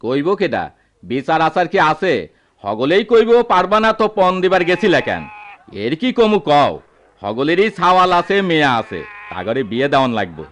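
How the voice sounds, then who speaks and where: A man's voice: a little high, very animated, moderate pace, fairly bright tone. A man acting an anguished, poor village father in a radio drama, lamenting in a rural Bangladeshi accent. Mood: bitter, resigned. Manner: emotional, lamenting rustic speech.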